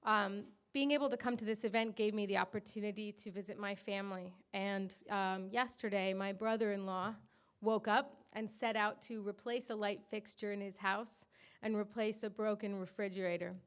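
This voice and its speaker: A woman's voice: mid-range voice, fairly even intonation, moderate pace, smooth. An American woman in her thirties, from the Washington area, giving chatty, informal closing remarks at a panel event. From Washington area, USA. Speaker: co-founder of a digital media company.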